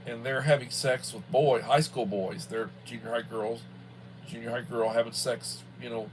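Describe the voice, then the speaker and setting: A man's voice: medium pitch, low-quality recording. Man in his sixties, rambling over background hum. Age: early 60s. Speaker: livestream host, school worker. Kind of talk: casual rambling livestream chat.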